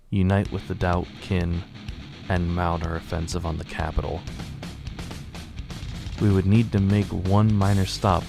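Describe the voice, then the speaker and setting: Over clear background music, a man plays a resolute suburban dad leading a rebellion. His voice is deep, and he narrates the radio drama earnestly in formal phrases. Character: determined suburban father turned rebel leader. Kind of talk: scripted first-person radio drama narration. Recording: music under the voice.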